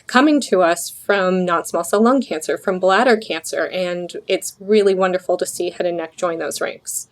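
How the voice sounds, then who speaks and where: Lowish-voiced woman, earnest expert. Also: normal speed, few pauses. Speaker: physician researcher, guest expert. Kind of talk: explanatory interview answer.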